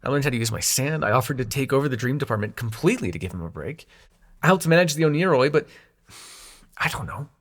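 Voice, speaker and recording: A man's voice: medium pitch, lively intonation, fast, close to an everyday voice. A man acting in an intimate audio roleplay as a young god of dreams, sarcastic and wounded but fond, talking in casual, sweary modern slang. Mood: hurt, vulnerable.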